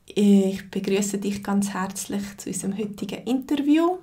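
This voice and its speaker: A woman with a Zurich Swiss German accent hosts the conversation, setting up each question gently and inviting her guest to talk. Her voice sits at an ordinary middle pitch. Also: expressive, normal speed. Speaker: host and language teacher. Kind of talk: interview host.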